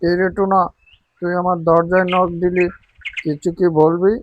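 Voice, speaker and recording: A cartoon pigeon, voiced by a man, agreeable and familiar like an elder brother. The voice is a little high and approving, with slight noise. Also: flat delivery, moderate pace, lo-fi sound, close to an everyday voice.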